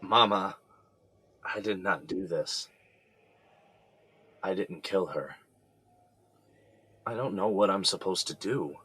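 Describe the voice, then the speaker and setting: A man's voice: sits low, slow pace, long pauses, husky, exaggerated voice. A man playing Drew, a jailed young man who pleads his innocence to his mother in an audio drama. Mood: distraught. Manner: distraught, pleading, quiet.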